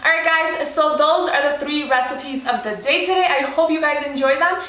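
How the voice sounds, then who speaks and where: A woman's voice: high-pitched, moderate pace, bright, forceful tone, echoey room. A young woman with a Polish accent mixed with Chicago, chatting casually as a vegan lifestyle vlogger. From Poland. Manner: friendly, chatty, with fillers. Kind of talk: casual cooking vlog with voice-over.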